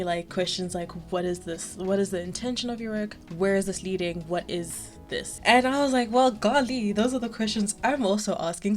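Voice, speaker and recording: A young woman from South Africa chatting casually about her art, with lots of filler words and lively asides. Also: medium pitch. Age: young adult. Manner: chatty, animated, frequent "like" fillers. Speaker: art vlogger. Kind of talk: casual chat.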